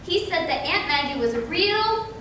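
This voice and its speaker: A woman's voice: very animated, moderate pace. A woman playing a chirpy, innocent small child in staged reader's theater. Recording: echoey room, distant mic, noisy rumble.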